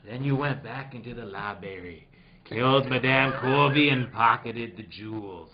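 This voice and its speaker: A man playing a smug, playful detective in a comedic live radio mystery, hamming it up and halting for asides. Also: medium pitch, muffled recording, crowd noise, lightly acted. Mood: expository. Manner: hammy, halting, breaks into ad-libbed asides.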